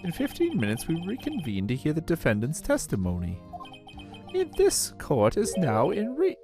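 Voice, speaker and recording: A man's voice: on the high side, huge pitch swings, moderate pace, over music, voice pushed up. A man voicing an elderly, flustered male judge in a fan voice-over of a courtroom game. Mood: stern.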